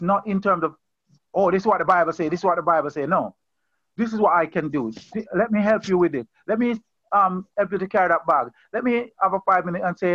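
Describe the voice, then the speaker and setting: A man's voice: high-pitched, fairly bright tone, no room sound, highs cut off. A man leading a Bible study over a video call, preaching in an earnest, conversational way and often repeating and restarting phrases. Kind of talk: informal sermon on a video call.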